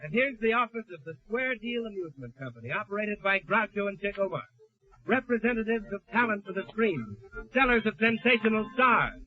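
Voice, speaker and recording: A tinny old broadcast with loud music carries an American man. He has a high voice and presents in the scripted manner of a 1930s radio announcer. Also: lively intonation, brisk.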